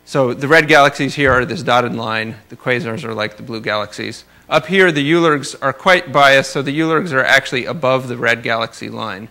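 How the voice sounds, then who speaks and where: A man's voice: mid-range voice, normal speed, creaky, few pauses, sharp tone. A man giving an informal research lecture, explaining technical material with careful hedges and wry, dry asides. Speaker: astronomer giving a research talk.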